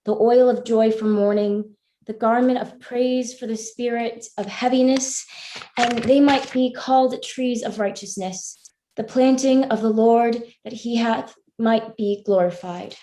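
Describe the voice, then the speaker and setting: A woman's voice: fairly high pitch, fairly even intonation, measured pace, dark tone, close to an everyday voice. A woman playing an earnest, devout young woman, speaking formally in a live staged play reading. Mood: earnest, solemn. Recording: roomy, echoing voice pickup.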